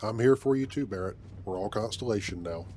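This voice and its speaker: A man playing an earnest, altruistic starship captain in scripted game dialogue, with a measured delivery. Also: lowish voice, darkish tone, slight background noise, close to an everyday voice.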